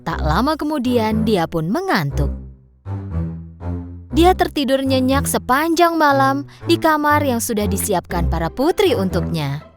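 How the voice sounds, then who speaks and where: Perempuan pendongeng yang ekspresif. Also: female voice, high-pitched, measured pace, over music. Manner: expressive, lilting storytelling. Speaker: narrator. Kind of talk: scripted storybook narration.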